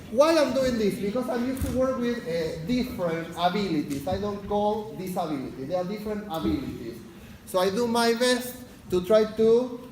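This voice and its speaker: Man, Argentine Spanish accent, university lecturer, fast-talking, high voice, echoey lecture hall. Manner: fast-talking, frequent "yes?" check-ins, filler "uh". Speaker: university lecturer, unit leader. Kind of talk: lecture introduction. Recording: echoey lecture hall, faint background noise.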